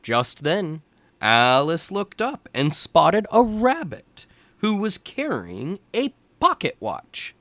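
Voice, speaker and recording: A man reading a storybook aloud as a teacher for young children, in deliberate phrases. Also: on the high side, huge pitch swings, fairly bright tone, dry recording.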